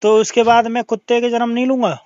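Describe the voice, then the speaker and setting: A man's voice: very high-pitched, little pitch movement, fast talker, darkish tone, clear, resonant tone, no echo. A man in a religious debate on a phone call, arguing through questions and recited scripture. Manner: argumentative, questioning, quoting scripture from memory. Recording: dull lo-fi call audio.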